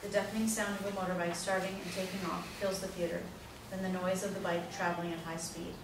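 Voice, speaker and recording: A woman's voice: fairly low pitch, level tone, quick pace. A woman narrator reading scripted stage directions at a play reading, recorded in an echoey room. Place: echoey indoor room, far from mic. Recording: very echoey room, distant mic, noisy.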